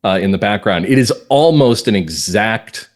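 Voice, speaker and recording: An American man talking as a guest writer in a conversational interview, explaining things reflectively and emphatically in short measured phrases. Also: fairly low pitch, lively intonation. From northern Massachusetts, US. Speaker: guest playwright and comedy writer.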